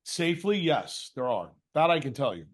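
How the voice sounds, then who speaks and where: Man, slightly high voice, confrontational, profane, unscripted lecturing, scolding, rhetorical questions. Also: sing-song, moderate pace. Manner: uses mocking imitations and rhetorical questions. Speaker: speaker leading a question session.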